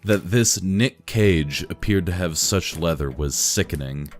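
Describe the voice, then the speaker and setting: A man reading a fan story aloud in a dry, deadpan narrating manner. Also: sits low, a little slow, soft background music. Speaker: reader.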